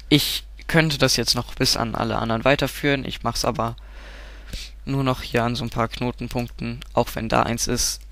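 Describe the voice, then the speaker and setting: A man with a stuffy, cold-clogged voice talks through a game tutorial at a desk mic. His tone is bright and forward, the sound dry and close, with keyboard clicks behind him. He rambles, fills pauses and keeps correcting himself. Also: medium pitch, no echo. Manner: rambling, self-correcting, frequent filler sounds. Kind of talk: casual gameplay tutorial.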